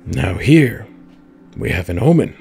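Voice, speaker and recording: A man's voice: low voice, big pitch swings, unhurried, creaky, crowd noise, heavily acted. A man playing a wry adventurer in a fantasy audio drama, delivering his lines in a dry, sardonic manner.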